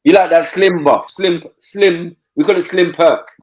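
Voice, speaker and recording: A man's voice: high voice, fairly even intonation, measured pace, crowd noise. A man in a casual live panel chat, teasing and laid-back.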